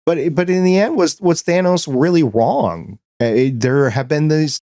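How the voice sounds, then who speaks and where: A man's voice: on the high side, a little slow, dark tone, dry recording, studio quality. A man with an American accent talking casually as a podcast co-host, rambling and acting out imagined reactions as he makes his points. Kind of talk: casual discussion and commentary.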